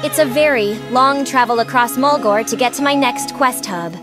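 A woman's voice: sits high, normal speed, loud music behind the voice. A woman giving casual gameplay commentary as the host of a gaming channel.

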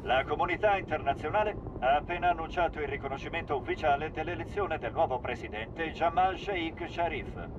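A formal male TV newsreader acted by a man, fairly high in pitch, reading a bulletin in game drama. The sound is dull, with clear vehicle noise behind. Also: brisk, hardly any pauses, close to an everyday voice. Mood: formal, grave. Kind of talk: scripted news bulletin.

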